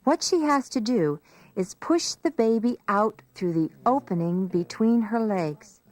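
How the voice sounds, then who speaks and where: A woman's voice: mid-range voice, animated, a little slow, a little mellow. A woman narrating a scripted educational story for young children in a simple, explanatory way.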